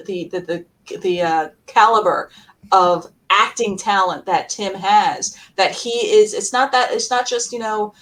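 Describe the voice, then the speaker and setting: A woman co-hosting a casual fan podcast, gushing and emphatic, often doubling back on her phrases in a halting way. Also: mid-range voice, fairly bright tone. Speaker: podcast co-host. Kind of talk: casual fan panel discussion.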